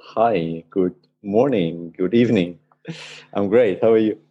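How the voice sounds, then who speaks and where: Male guest, forties, deep voice, hesitant storyteller. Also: unhurried, muffled recording. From Austria. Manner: hesitant, with fillers and restarts. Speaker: podcast guest. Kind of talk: casual interview chat.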